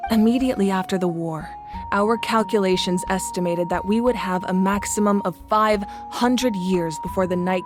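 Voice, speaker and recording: A woman playing the calm, grave ruler of a fire nation in a video game, speaking in a measured, formal way. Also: medium pitch, lightly acted. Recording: game audio with loud music.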